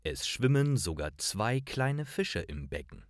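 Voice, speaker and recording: A man reading a scripted short story for language learners, with careful, deliberate phrasing. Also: mid-range voice, sing-song, no echo, studio quality. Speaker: narrator of German learning stories.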